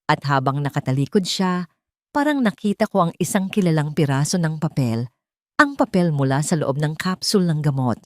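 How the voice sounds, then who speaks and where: A woman's voice: low voice, expressive, moderate pace. A woman reading a scripted, dramatic first-person story. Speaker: story narrator.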